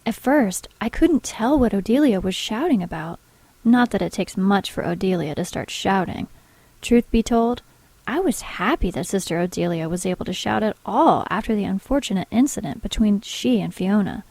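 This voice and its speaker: An actress playing an earnest, proper nun who narrates strange events in an audio drama. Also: female voice, mid-range voice, expressive, darkish tone, no echo. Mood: grave narration. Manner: measured storytelling, primly earnest.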